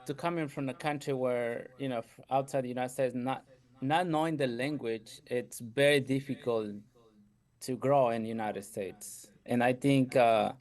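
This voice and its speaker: A man's voice: mid-range voice, a little mellow. Chatty Ecuadorian-accented businessman. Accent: Ecuadorian Spanish. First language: Spanish. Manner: chatty, laughs often, says "you know" a lot. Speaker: guest entrepreneur. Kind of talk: casual interview storytelling.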